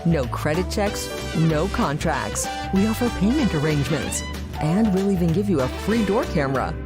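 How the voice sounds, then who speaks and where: Female ad voice-over, lilting read over clear music. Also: lowish voice, expressive, normal speed. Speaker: commercial announcer. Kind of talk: scripted ad read.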